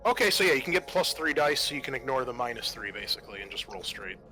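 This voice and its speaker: Bright-voiced man running a tabletop game. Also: mid-range voice, very animated, quick pace. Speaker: game master. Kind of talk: narrating a tabletop roleplaying game with friends. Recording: faint music behind the voice.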